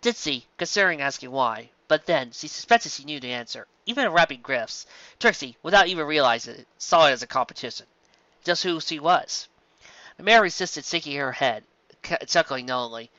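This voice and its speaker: An animated audiobook narrator reading a story. The voice is bright and forceful with a very lively range, captured close and dry on a slightly muffled recording. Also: medium pitch, fairly quick, dry recording.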